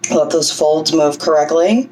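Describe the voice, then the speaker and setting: A woman with a low voice giving a casual, chatty breathing lesson, often checking in with "okay?". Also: a little slow, darkish tone, distant mic. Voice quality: low singing voice. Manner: chatty, self-deprecating, coaching with frequent "okay?" checks. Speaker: vlogger teaching breathing. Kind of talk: casual instructional vlog.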